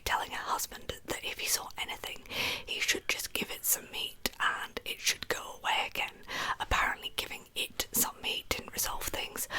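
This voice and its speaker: A woman narrating in a breathy, unvoiced whisper as an ASMR storyteller, with chatty, informal asides. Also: low-quality recording. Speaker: host of a true crime ASMR channel.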